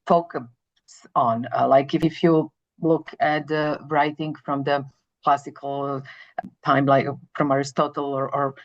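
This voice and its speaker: A woman from Serbia with a Serbian accent, a psychologist answering interview questions in measured explanations, often repeating words as she searches for the phrasing. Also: deep voice, little pitch movement, lo-fi sound. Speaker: psychologist guest.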